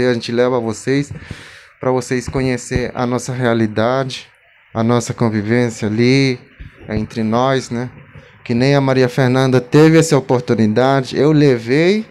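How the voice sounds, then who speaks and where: A man's voice: mid-range voice, a little mellow, slight background noise. A young man holding a mic at an outdoor gathering, speaking to the crowd in a plain, friendly way. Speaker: community guest speaker. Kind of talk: casual address to a crowd. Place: outdoor park among trees. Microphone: handheld mic.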